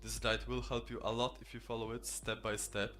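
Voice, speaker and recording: A man's voice: medium pitch, little pitch movement, fairly quick, a bit bright. A man whose first language is Polish explains study methods in a tutorial video. He speaks in an earnest, instructive way. Faint music plays behind him.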